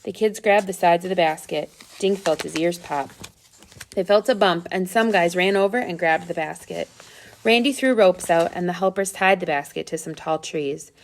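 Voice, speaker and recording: A woman's voice: lowish voice, normal speed, a little hiss or hum. A woman reading a children's adventure story aloud as scripted narration. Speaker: storybook reader.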